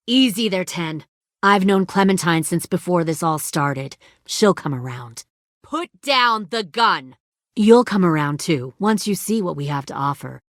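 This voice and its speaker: A woman's voice: fairly high pitch, bright, forceful tone, dry recording, studio quality, heavily acted. An actress voicing a ruthless, hardened woman who leads a survivor militia in a video game drama, speaking in a controlled, menacing and persuasive way. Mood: calm, coaxing.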